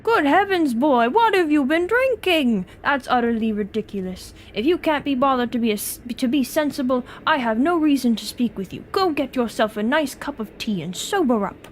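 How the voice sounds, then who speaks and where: A woman voicing a pompous, blustering gentleman explorer who is really a sea slug, with an attempted British accent. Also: sits high, lively intonation, normal speed, few pauses, slight background noise. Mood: affronted. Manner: pompous, declamatory, theatrical.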